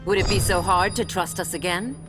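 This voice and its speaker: An actress playing a proud, steely Amazon warrior princess, commanding and formal. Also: female voice, fairly low pitch, a little fast, close to an everyday voice, poor audio. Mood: firm. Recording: game music behind the voice.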